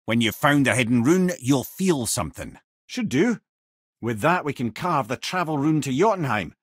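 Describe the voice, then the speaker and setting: Wry old Scottish storyteller, animated delivery. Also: male voice, medium pitch, normal speed, husky, no echo, clean studio sound. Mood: wry advising. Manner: wry, chatty, wordy storytelling. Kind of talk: video game character dialogue.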